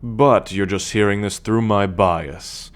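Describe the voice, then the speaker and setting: A man playing a cocky, teasing male alien scout warrior in an ASMR roleplay, talking straight to the listener with a drawl. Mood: explaining, proud. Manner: teasing, slow and drawling, with low chuckles.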